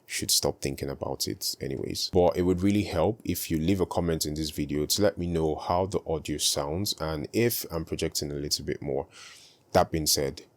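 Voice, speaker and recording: A young man with a very deep voice, speaking softly and calmly in a casual tutorial vlog. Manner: soft-spoken, calm and unhurried. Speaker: video creator.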